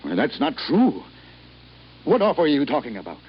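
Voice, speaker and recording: A man's voice: medium pitch, wildly swooping pitch, normal speed. Proud, affronted older businessman father, stiff delivery, old radio drama, faint noise, lo-fi. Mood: indignant. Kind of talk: 1950s radio drama acting.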